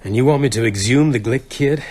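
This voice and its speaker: A man acting in a radio drama as a brisk, wry, sceptical small-town doctor. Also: medium pitch, a little mellow, slight background noise, lightly acted. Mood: wry, resolved. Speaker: actor.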